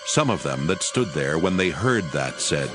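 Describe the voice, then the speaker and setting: A man narrating a dramatized Bible reading in a solemn, measured storytelling manner. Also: rumbling low, very animated, music in the background.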